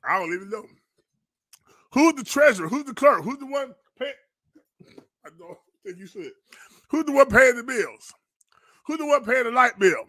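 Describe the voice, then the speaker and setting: A man's voice: very high voice, lively intonation, normal speed, long pauses, bright, forceful tone, no echo. A man, a pastor hosting a live commentary, indignant and emphatic, repeating phrases and firing rhetorical questions like a preacher. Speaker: pastor and online show host. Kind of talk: fiery live-stream commentary, preacher's cadence.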